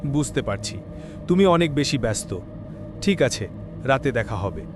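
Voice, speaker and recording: A man's voice: medium pitch, expressive, moderate pace, background noise, close to an everyday voice. A man voicing a polite, smitten young city-raised son of a village headman in a cartoon horror story. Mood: agreeable.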